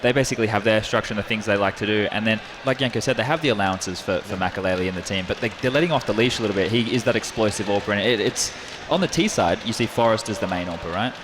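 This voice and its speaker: A man with an Australian accent breaks down esports play at a quick clip, his voice sitting a little low. He rambles loosely through fillers and restarts. Steady noise from a busy event venue runs under him. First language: English. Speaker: esports analyst. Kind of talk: analyst desk chat.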